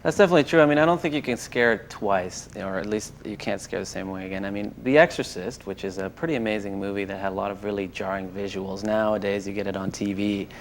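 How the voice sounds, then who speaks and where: A man's voice: fairly low pitch, quick pace, hardly any pauses, slight background noise. A man speaking as a guest panelist in a conversational film discussion, thinking aloud as he goes.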